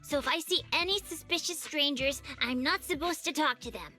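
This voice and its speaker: A woman's voice: sits high, brisk, sharp tone, faint music behind. A woman voicing a stubborn, wary small child in an anime video game, blunt and guarded.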